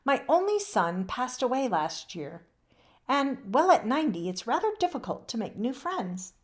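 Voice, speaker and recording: A woman voicing a sweet, gentle old lady of ninety in a storybook. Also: a little high, very animated, moderate pace, pitched above the performer's own voice. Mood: wistful. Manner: gentle, kindly, polite.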